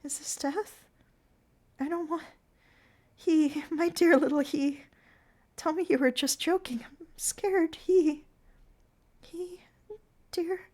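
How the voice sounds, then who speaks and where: A woman's voice: sits high, slow pace, long pauses, darkish tone, pitched above the performer's own voice. A woman voicing a scared, dying young girl. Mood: frightened. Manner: pleading, breathless and childlike.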